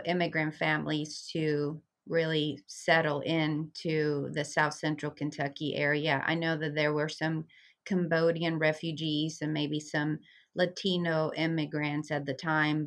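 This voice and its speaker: A woman's voice: low-pitched, fairly bright tone. A woman from Venezuela, a community liaison, speaking earnestly and reflectively as a guest in an interview. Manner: earnest, reflective, with frequent fillers. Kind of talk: conversational interview.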